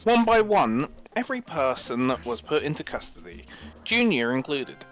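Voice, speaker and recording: A man's voice: a little high, sing-song, normal speed, a bit bright, soft background music. A man reading scripted story narration aloud on a livestream, as the narrator of a group reading.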